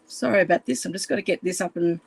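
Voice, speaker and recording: A woman with a croaky voice, chatting casually and apologetically on a home live stream while fixing her phone camera. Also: on the low side, fast talker, no echo. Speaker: live stream craft demonstrator. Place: room at home.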